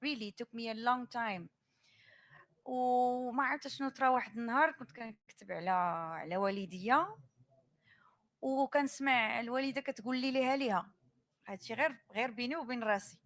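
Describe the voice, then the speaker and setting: Brisk Dutch-born woman poet, higher voice. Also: a bit bright. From Netherlands. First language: Dutch and Moroccan Arabic. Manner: thoughtful, switches between English and Moroccan Arabic while telling stories. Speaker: poet on a panel. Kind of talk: panel discussion.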